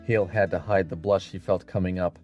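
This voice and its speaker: Very deep male storyteller over faint music. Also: fairly even intonation, a little fast, a little mellow, muffled recording. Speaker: audiobook narrator. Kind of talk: scripted dramatic story narration.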